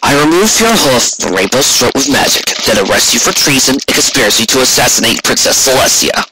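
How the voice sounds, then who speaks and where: A man voicing a firm, authoritative stallion guard captain in a story reading, measured and commanding. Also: medium pitch, big pitch swings, noisy background, lightly acted.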